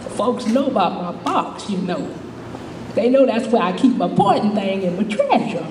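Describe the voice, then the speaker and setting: A woman's voice: medium pitch, very animated, normal speed, darkish tone, natural voice. A woman playing a chatty, good-humored older homeless widow, telling her story in a folksy, rambling stage monologue. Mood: fond, chuckling. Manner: folksy, rambling, repeats "you know", chuckling. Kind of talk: dramatic monologue, storytelling to a live audience. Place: indoor stage performance, distant microphone. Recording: echoey hall from far off, faint noise.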